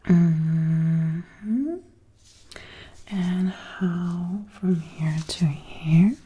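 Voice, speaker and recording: Female ASMR artist, hushed and extremely unhurried, mellow low-ish tone, whispering close to the mic over faint hiss. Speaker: ASMR creator acting out a personal attention measuring roleplay. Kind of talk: ASMR, soft spoken mixed with whispering. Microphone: close binaural microphone, ear to ear.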